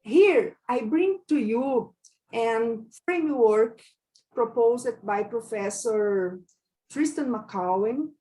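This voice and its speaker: Brazilian woman giving a talk in english, slightly high voice, goes slow, lots of uh and restarts. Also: expressive, dark tone, rough recording. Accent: Brazilian Portuguese. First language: Portuguese. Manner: slow and careful, frequent hesitations and repeated words. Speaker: university lecturer. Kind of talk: academic conference lecture.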